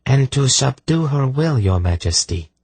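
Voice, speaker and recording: Guy doing a hooded healer lady, formal n serious, raised pitch, explaining stuff, fantasy book. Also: lively intonation, unhurried, a little mellow, slightly rough, no echo. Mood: grave, respectful. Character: cowled woman healer, grave, respectful, knowledgeable servant.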